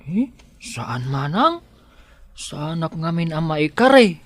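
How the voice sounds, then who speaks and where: Slow-speaking, swooping actor as a timid, devoted male servant in love, radio drama. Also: a little high, very animated. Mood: bashful. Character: shy, devoted lovestruck male household helper. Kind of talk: Ilocano radio drama acting.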